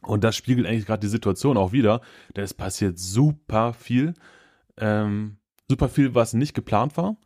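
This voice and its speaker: A man's voice: fairly low pitch, moderate pace, a little mellow. A man in his thirties from Germany, hosting his own podcast in a loose, upbeat chat from his office, with plenty of fillers. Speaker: podcast host. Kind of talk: casual solo podcast chat.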